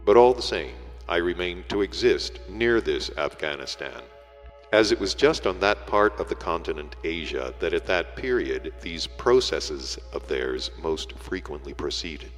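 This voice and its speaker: Deep guy narrating an old formal book, measured delivery, big echo and some music behind him. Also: animated. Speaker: audiobook reader. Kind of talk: scripted literary narration. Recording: echoey hall reverb, music behind.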